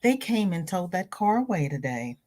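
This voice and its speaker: A woman hosting a crochet livestream, chatting casually and reading viewer comments. She sounds stuffy-nosed and greets viewers by name with high, bright lifts in her voice. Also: big pitch swings, moderate pace. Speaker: crochet channel host.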